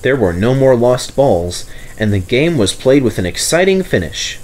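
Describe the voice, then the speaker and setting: Man reading a children's story, animated pitch. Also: normal speed, low-quality recording. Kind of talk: scripted children's story narration.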